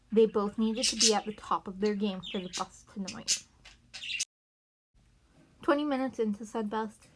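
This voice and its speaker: A woman reading fan fiction narration aloud as an amateur podfic reader. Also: medium pitch. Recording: noisy amateur recording with birds chirping.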